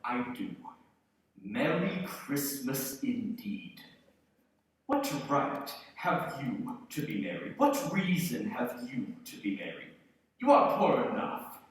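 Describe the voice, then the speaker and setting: A man's voice: medium pitch, wildly swooping pitch, slow pace. An actor playing a bitter, miserly old businessman, curt and scornful, in a theatrical radio play style. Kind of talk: live radio play acting. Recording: very echoey hall, distant microphone.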